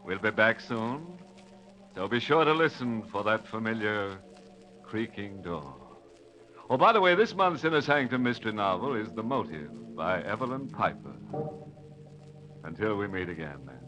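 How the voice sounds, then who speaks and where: An actor playing a ghoulish, gleefully macabre horror host on old-time radio, sardonic and drawn-out, savoring morbid puns. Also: male voice, on the low side, big pitch swings, bright, forceful tone. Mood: sly, unhurried. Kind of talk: 1940s-50s radio horror hosting. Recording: old radio broadcast with music.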